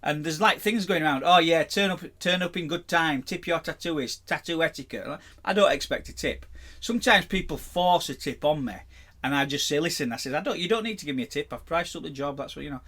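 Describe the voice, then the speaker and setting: A man co-hosting a relaxed podcast chat, his voice sitting a little high for a man. He talks bluntly and stumbles over repeated words as he starts his points. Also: fairly quick. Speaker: podcast co-host, tattooist. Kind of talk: casual chat.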